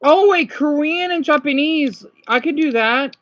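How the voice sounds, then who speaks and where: A woman's voice: sits high, measured pace. A young woman streaming, chatting casually and a bit scattered to her viewers while reacting to clips. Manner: chatty, scattered, talks to chat.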